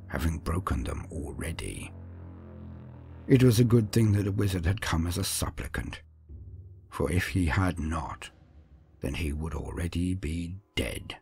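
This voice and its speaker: A man narrating dark fantasy horror tales in a grave, theatrical manner, often dropping to hushed phrase endings. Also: low-pitched, vocal fry, slightly rough. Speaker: storyteller narrator.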